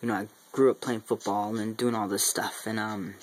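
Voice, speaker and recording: A man's voice: lowish voice, flat delivery, moderate pace. A young man from Central Texas with a faint Texan accent, a regular vlogger talking casually to camera, rambling with lots of ums and restarts. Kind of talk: casual vlog monologue.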